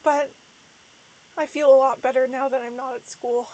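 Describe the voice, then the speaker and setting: Hoarse young woman chatting on stream. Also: high-pitched, little pitch movement, moderate pace, a little mellow, low-quality recording. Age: young adult. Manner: rambling, self-deprecating, frequent restarts. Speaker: VTuber streamer. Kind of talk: casual livestream chat.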